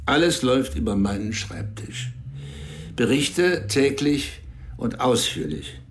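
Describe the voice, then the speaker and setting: A man's voice: medium pitch, animated, takes it slowly, slight background noise. An actor playing a commanding male intelligence chief in a radio drama, briefing his staff with cool authority. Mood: lecturing.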